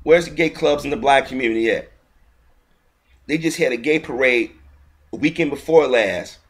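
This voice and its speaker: A man's voice: mid-range voice, normal speed. Indignant man ranting on camera. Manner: heated, indignant, repetitive ranting with frequent restarts. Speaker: video commentator. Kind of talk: ranting video commentary.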